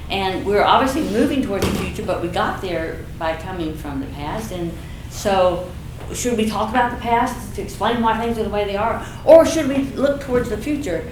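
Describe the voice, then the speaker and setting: An older woman with a deep, slightly husky voice, a community speaker opening her talk in a playful, enthusiastic way. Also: expressive, moderate pace, few pauses. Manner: playful, enthusiastic, self-deprecating. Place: event room with audience. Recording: echoey room, audible noise.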